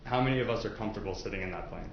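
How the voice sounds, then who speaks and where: A man's voice: lowish voice, very fast, creaky. A man speaking as a prosecutor, questioning potential jurors in an informal, explanatory way with casual asides. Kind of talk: courtroom jury questioning, conversational. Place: indoor courtroom. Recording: very echoey courtroom, muffled, faint noise.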